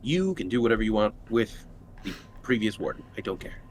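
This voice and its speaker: A man's voice: on the low side, big pitch swings, fairly quick, slight background noise. A man chatting casually as a player in a tabletop roleplaying game. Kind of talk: casual chat.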